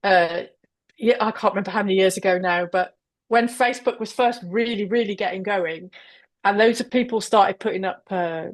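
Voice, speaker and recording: A woman from South Wales, a guest author, talking in a hesitant way with many pauses and restarts over a video call. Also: medium pitch. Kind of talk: casual interview chat.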